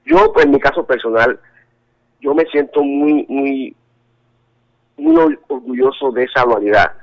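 A man's voice: medium pitch, wildly swooping pitch, unhurried. A Colombian man interviewed by phone, speaking earnestly, often hesitating and repeating words as he searches for them. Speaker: interview guest. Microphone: telephone. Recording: tinny phone line.

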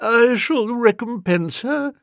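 A man's voice: very high-pitched, animated, slow, gravelly, a bit bright, no echo, clean studio sound, pitched above the performer's own voice, full character voice. A man voicing a shaken, imperious older English aunt in a storybook, faltering. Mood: shocked, feeble.

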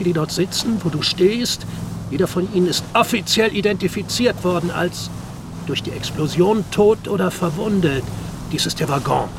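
An actor playing a gloating, mock-friendly Armenian nationalist bomber in a crime radio drama, theatrical and taunting. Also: male voice, high-pitched, gravelly. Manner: theatrical, taunting, falsely jovial. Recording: outdoor vehicle noise behind.

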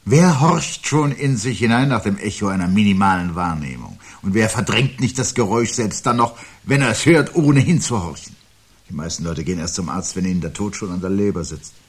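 An uneasy husband in a seventies radio play. His voice is very low and husky, and he speaks deliberately, brooding over each word as if disaster were near. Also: expressive. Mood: grave, brooding. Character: uneasy, brooding husband sensing a looming catastrophe. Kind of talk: 1970s radio drama acting.

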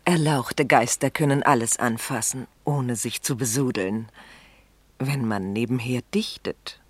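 Imperious society wife, calculating, measured delivery, dry close studio sound. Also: female voice, rumbling low, husky, no room sound, full character voice. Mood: cool, seductive. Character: haughty, calculating upper-class married lady. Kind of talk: German radio play acting.

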